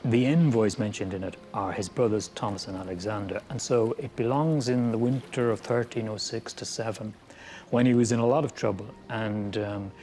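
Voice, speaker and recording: A man, a historian, explaining in an animated, thinking-aloud way. His voice sits a little low. He talks as an interviewee in a documentary. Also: a little hiss or hum.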